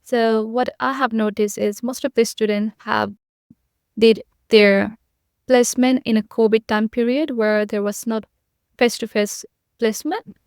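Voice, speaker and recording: A woman's voice: fairly high pitch, fairly even intonation, a little slow, a little mellow, clean tone, dry recording, studio quality. A young woman from Nepal, a podcast guest, giving earnest, repetitive conversational answers with frequent fillers.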